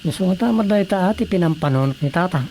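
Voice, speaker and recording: Plain-spoken village councilor, low-pitched voice, Ilocano accent, comic radio drama, faint ambient noise. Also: female voice, animated, fast, warm tone. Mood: matter-of-fact.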